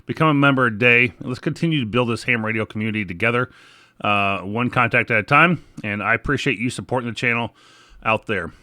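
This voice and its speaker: A man's voice: mid-range voice, fast talker, pushed and bright, no room sound. American man hosting a hobby podcast, dry sound. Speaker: podcast host. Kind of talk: podcast news reading and sponsor reads.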